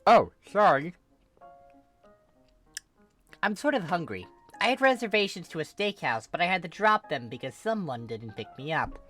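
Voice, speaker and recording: Narrator doing a teasing big sister, pitched up. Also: big pitch swings, moderate pace, pauses often, a bit bright, soft background music. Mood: pointed teasing. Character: teasing, falsely cheery big sister, young woman.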